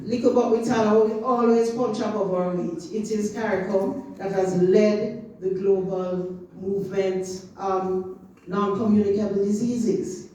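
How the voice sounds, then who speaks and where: A woman's voice: mid-range voice, steady tone, unhurried, mellow, a little hiss or hum. A woman from Jamaica with a Jamaican accent, giving an impassioned public talk as an official of a regional organization. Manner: impassioned, rhythmic emphasis, rising to chant-like slogans. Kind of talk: public talk at a community meeting. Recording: echoey hall, distant mic, faint ambience.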